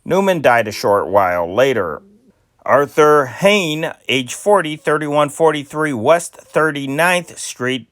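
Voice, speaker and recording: A man reading old newspaper items aloud at an ordinary middle pitch, in a plain, non-professional delivery. He trips over unfamiliar names now and then before pressing on. His voice is close and dry, with no room sound around it. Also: fairly bright tone, no echo. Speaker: newspaper reader.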